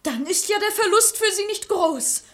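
A woman's voice: very high voice, lively intonation, moderate pace. A young woman playing a proud, lovesick young lady, earnest and pouting, in a German radio verse comedy. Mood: piqued, hurt.

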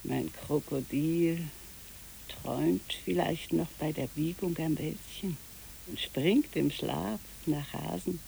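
A dreamy odd boat lady in a radio play, slow and mellow, musing then bossy. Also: deep voice, gravelly, a little hiss or hum, exaggerated voice, poor audio. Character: dreamy, eccentric woman who rents out boats. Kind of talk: literary radio play acting.

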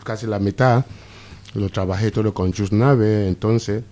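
A man's voice: lowish voice, fairly even intonation, dark tone. A middle-aged man from Nigeria whose first language is Yoruba, a guest musician chatting on the radio and often restarting his words. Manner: halting, repeats words and restarts. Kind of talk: casual radio chat.

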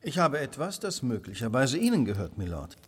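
An actor playing a keen, determined Victorian gentleman detective in a radio play, with precise, polite diction. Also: mid-range voice, huge pitch swings, fairly quick, breathy edge. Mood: politely probing.

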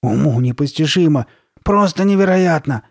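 A man's voice: high-pitched, animated, measured pace, a little mellow, no room sound, clean studio sound, pitched above the performer's own voice. A man voicing a panicking, hot-tempered girl in a horror storybook, wailing and exclaiming desperately. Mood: shocked, frantic. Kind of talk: dramatised horror storybook reading.

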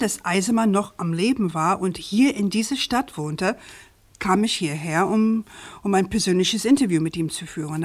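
An actress playing a self-assured, inquisitive American journalist in a German radio crime drama, speaking politely but pointedly. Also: female voice, mid-range voice, close to an everyday voice.

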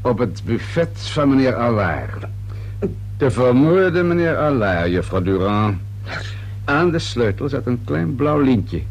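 An actor in a radio play playing a persistent police inspector, probing and unruffled, with a dry sardonic edge. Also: male voice, mid-range voice, sing-song, takes it slowly, full character voice, rough recording. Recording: radio play recording with hiss and hum.

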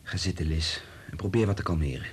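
Actor as deep-voiced, concerned doctor. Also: male voice, fast, natural voice. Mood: concerned, calming. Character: concerned, clinical male doctor secretly in love with colleague. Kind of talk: radio drama acting. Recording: noisy radio play recording with low rumble.

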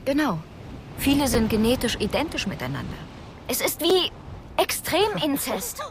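An earnest female scientist in a radio drama, defensive and insistent as she explains. Her voice sits fairly high, with faint ambient noise behind it. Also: huge pitch swings, normal speed, heavily acted. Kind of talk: German radio drama acting.

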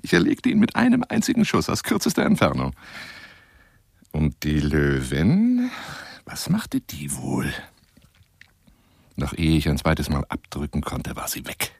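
An actor playing a garrulous, wooing lion hunter in a radio play monologue, theatrical and coaxing, rambling between exclamations and whispers in a husky, gravelly voice. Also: male voice, a bass voice, huge pitch swings, a little slow, pauses often, a little mellow, dry recording, clean studio sound, full character voice. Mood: boastful, conspiratorial. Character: garrulous, self-styled lion hunter wooing a woman by telephone.

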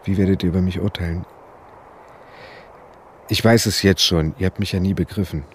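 An actor playing a doomed young bank robber, formally reading out a bitter, self-righteous farewell in a radio drama. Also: male voice, low voice, steady tone, normal speed, slight background noise, natural voice.

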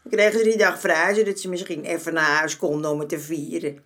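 A woman's voice: sits low, fairly even intonation, fast, fairly bright tone. An actress playing a streetwise former madam in her seventies in a radio drama, folksy and dismissive in manner. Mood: nostalgic, fond. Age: 70s. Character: streetwise 74-year-old former madam, motherly but brazen.

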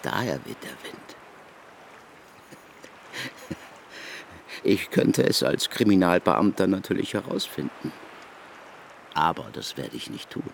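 An actor in a German radio drama playing a scornful criminologist, sarcastic and accusing in a theatrical way. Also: male voice, sits low, sing-song, normal speed, vocal fry, a little hiss or hum, full character voice. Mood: cold. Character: scornful male criminologist confronting a suspected murderer.

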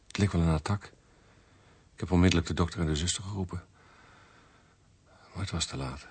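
A man's voice: low voice, a little fast, darkish tone, husky, full character voice. An actor playing a terse, grim junior male police detective in a radio drama. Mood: shaken.

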